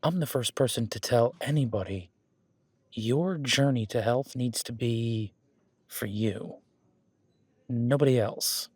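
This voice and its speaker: A man acts out an easygoing male werewolf who loves the gym and supports his partner. He speaks at a medium pitch in a casual, conversational way, reassuring and rambling through his own corrections. The audio roleplay is recorded very dry, with hardly any room around the voice. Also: takes it slowly, pauses often, darkish tone, husky, dry recording. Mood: earnest, reassuring. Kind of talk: conversational one-sided roleplay acting.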